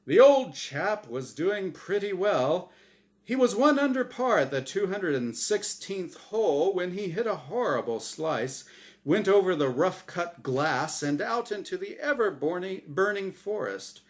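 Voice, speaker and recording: A man puts on a showy male angel hosting a game show in a storybook tale. He is smug and theatrical, punching his patter. The pitch rides high and leaps about dramatically. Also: sing-song, a little slow. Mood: smug, jovial. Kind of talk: storybook character voice in dramatic reading.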